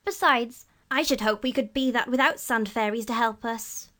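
A woman's voice: a little high, moderate pace, pushed and bright, pitched above the performer's own voice. A woman voicing an impatient young boy who wants something splendid. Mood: eager. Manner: eager, a bit snappy.

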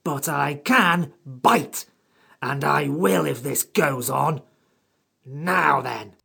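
Creaky, cross little magical creature. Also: male voice, a little high, bright, forceful tone, voice pushed up, heavily acted. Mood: angry, threatening. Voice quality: creaky, croaky, rough. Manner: slow, cross, threatening. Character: bristling, furious, grumbling magical wish-granting creature.

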